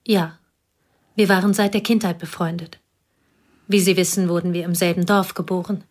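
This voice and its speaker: A woman playing a reserved schoolteacher, the dead wife's confidante, in a radio play, speaking in a calm, measured way. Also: fairly low pitch, natural voice. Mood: restrained. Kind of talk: radio drama acting.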